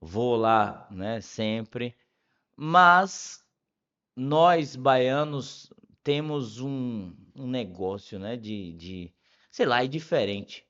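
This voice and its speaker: A middle-aged man with a Bahian Brazilian accent telling stories casually as a podcast guest, often pausing and repeating words as he thinks. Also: medium pitch, animated, fairly bright tone, dull audio. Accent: Bahian Brazilian Portuguese. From Salvador, Bahia, Brazil. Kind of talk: casual conversational storytelling.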